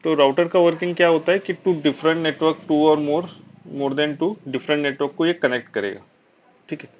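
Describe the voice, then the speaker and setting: A man's voice: on the high side, a little slow. A man with an Indian accent giving a live technical lecture as an instructor, explaining step by step with frequent check-in tags and mixing in English technical terms. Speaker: networking instructor. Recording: dull, lo-fi online class audio.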